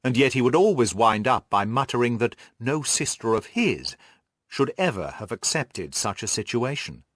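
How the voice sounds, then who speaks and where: A man reading literary narration as an audiobook narrator, measured and articulate. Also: mid-range voice, very animated, a bit bright, dry recording, studio quality.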